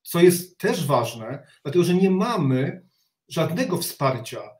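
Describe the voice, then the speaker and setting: A man's voice: fairly high pitch, sing-song, a little mellow. Middle-aged man, echoey video call. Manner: halting, with repeated words and blunt criticism. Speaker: interview guest, reserve army officer. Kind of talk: opinionated interview commentary. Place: home study with bookshelves, indoors. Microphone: video call, sitting back from the webcam. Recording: roomy, echoing video call.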